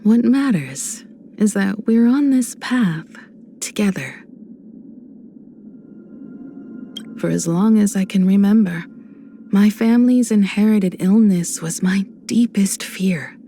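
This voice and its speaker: An actress voicing a composed adult woman space traveler in a video game, a warm mentor who speaks in a measured, reflective, gently teasing way. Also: mid-range voice, slight background noise, close to an everyday voice. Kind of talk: video game character voice lines.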